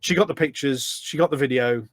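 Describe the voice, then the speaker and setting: A man's voice: medium pitch, brisk, no echo. A British man chatting informally as a podcast guest, with an easygoing, self-deprecating way of talking. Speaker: podcast guest, community event organiser. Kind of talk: casual chat. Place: office.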